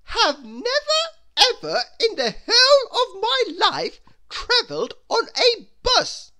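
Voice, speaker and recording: A woman's voice: extremely high pitch, big pitch swings, slow, bright, forceful tone, pitched above the performer's own voice, full character voice. A woman voicing a vain, snobbish grand lady from a children's storybook in a pompous, theatrical, disdainful manner. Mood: haughty disdain. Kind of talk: storybook character voice.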